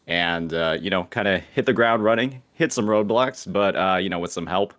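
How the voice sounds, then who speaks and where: A low-voiced man in his late twenties joins a casual online chat as a guest. He speaks in a halting, filler-heavy way. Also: a bit bright. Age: late 20s. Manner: hesitant, lots of fillers and restarts. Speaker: guest content creator.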